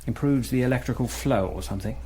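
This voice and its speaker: A callous Nazi jailer played by a male actor in a radio drama. His medium-pitched voice is offhand and mock-courteous, sardonic and coolly cruel. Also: fast, mellow, slightly rough, close to an everyday voice. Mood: mocking nonchalance. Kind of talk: broadcast radio drama acting.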